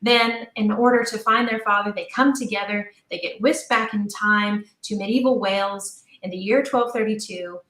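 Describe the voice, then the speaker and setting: A woman talking as an interview guest about her creative work, enthusiastic, animated and emphatic. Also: medium pitch, moderate pace, hardly any pauses. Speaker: guest, audio drama creator. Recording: roomy, echoing sound.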